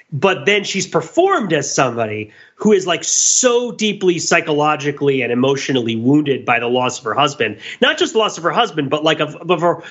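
Higher-voiced man in rambling, emphatic discussion. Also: fairly bright tone, highs cut off. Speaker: podcast host. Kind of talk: casual analytical podcast discussion.

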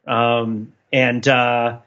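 A man in a casual panel discussion, rambling through wry, animated, digressive analysis of pop culture. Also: mid-range voice, extremely slow, muffled recording. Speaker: podcast panelist.

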